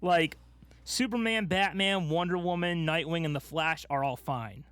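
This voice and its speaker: High-voiced man chatting casually. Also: normal speed, fairly bright tone, smooth, no echo. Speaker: podcast co-host. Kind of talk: casual chat about movies.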